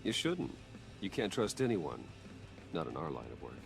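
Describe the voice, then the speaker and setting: An actor playing a guarded, brooding wealthy hero in a video game, terse and measured. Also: male voice, low voice, wildly swooping pitch, vocal fry, music in the background, exaggerated voice. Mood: grim.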